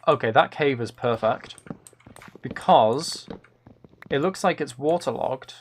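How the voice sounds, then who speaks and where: A man with a mid-pitched voice streams a game and thinks out loud. He rambles casually and keenly from one idea to the next. Some background noise and keyboard clicking sit under his speech. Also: takes it slowly. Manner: rambling, thinking aloud, enthusiastic about ideas. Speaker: livestreamer. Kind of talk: casual gameplay stream chat.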